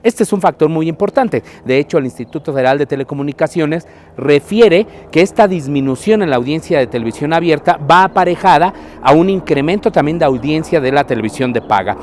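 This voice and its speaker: A man with a Mexican Spanish accent giving prepared media commentary in a measured, explanatory way. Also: a little high, animated, darkish tone, a little hiss or hum.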